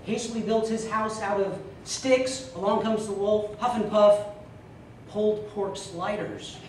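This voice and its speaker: A man giving a humorous storytelling speech to a live club audience, theatrical, with drawn-out punchlines. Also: high voice, steady tone. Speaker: contest speaker telling a humorous tale. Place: meeting room before an audience. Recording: echoey room from far off, loud noise.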